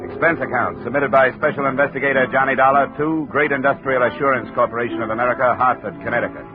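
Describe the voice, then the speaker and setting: A dry, wry hardboiled insurance investigator, played by a man in a 1950s radio play. He narrates in a matter-of-fact way, at an ordinary pitch. The sound is thin and phone-like, like an old radio broadcast. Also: steady tone, fast, loud music behind the voice, lightly acted. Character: wisecracking hardboiled male insurance investigator, adult. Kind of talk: 1950s radio drama acting with first-person narration.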